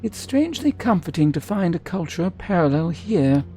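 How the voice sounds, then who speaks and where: An actress playing a wistful, eloquent wanderer in a fantasy video game, speaking in a formal, musing way. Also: female voice, lowish voice, very animated, normal speed, mellow, faint music behind. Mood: intrigued, musing. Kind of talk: video game character acting.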